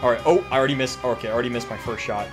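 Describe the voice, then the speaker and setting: An excitable young man giving casual, profane, slangy gameplay commentary, repeating himself as he goes. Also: medium pitch, racing. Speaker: gaming YouTuber. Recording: music behind the voice.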